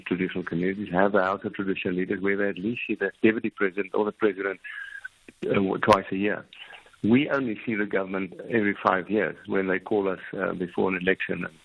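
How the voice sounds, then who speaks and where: A man, a guest in a radio interview, talking haltingly and repeating words. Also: deep voice, vocal fry, slight background noise. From South Africa. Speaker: Afrikaner community guest. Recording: tinny phone line.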